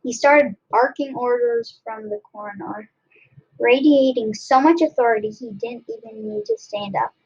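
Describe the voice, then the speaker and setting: A woman reading a children's book aloud expressively, in a deliberate way with dramatic pauses. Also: on the high side, lively intonation, creaky, darkish tone, breathy edge, lo-fi sound. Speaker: read-aloud narrator.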